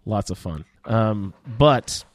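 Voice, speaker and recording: A man's voice: fairly low pitch, animated, takes it slowly, no echo. Man hosting, close dry sound. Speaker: podcast host. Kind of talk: casual roundtable chat about a video game.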